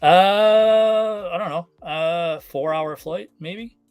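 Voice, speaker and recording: A man from Canada chatting casually on a live stream, relaxed and full of fillers. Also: high-pitched, drawn-out words, smooth, no echo, clean studio sound, faint music behind. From Canada, Newfoundland. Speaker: guest collector.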